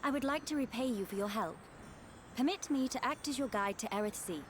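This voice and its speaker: A woman playing a courteous, poised young noblewoman in a video game, speaking in a formal, measured way. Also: a little high, a little hiss or hum, close to an everyday voice. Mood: earnest, grave. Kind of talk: video game cutscene acting.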